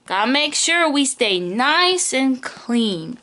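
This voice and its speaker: A woman's voice: high-pitched, slow pace. A woman teacher reading a picture book aloud to young children, talking straight to them with simple questions and playful emphasis. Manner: animated, questioning, speaks directly to small children.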